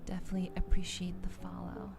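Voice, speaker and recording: A woman hosting a soft-spoken ASMR gaming livestream, chatting casually with viewers and murmuring hesitantly as she thinks aloud, half whispering at times. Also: fairly low pitch, monotone, quick pace, vocal fry, background noise. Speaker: VTuber livestream host. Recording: loud music behind the voice. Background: music.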